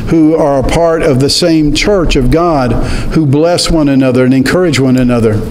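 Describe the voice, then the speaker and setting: An older man, a pastor, preaching an earnest sermon at a pulpit in a church, rising to emphatic peaks. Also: darkish tone. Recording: reverberant church hall with faint rumble.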